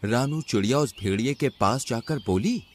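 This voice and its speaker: A man's voice: mid-range voice, animated, normal speed, slight background noise. A man narrating a scripted animal fable as a storyteller for a cartoon.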